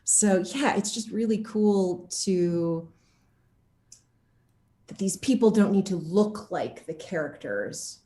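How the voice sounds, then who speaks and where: Echoey, animated woman chatting as a guest. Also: on the low side, slow. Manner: animated, acts out quoted reactions. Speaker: guest, actor interviewed about game casting and motion capture. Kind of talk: casual interview chat. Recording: echoey room sound.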